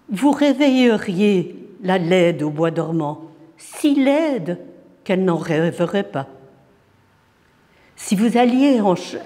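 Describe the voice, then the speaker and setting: A man with a high voice recites poetry dramatically. He goes very slowly, setting each phrase apart with deliberate pauses. The sound carries a long, hall-like reverberation. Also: a little mellow.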